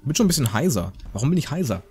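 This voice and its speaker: A man's voice: mid-range voice, big pitch swings, very fast, darkish tone. A man giving casual, joking game commentary in a rambling, slangy, mock-indignant way. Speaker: gaming video host.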